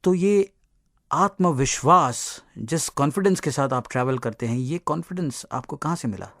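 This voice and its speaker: A man's voice: fairly high pitch, expressive, moderate pace, fairly bright tone, dry recording. Male radio interviewer, close dry sound. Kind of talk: polite conversational interviewing.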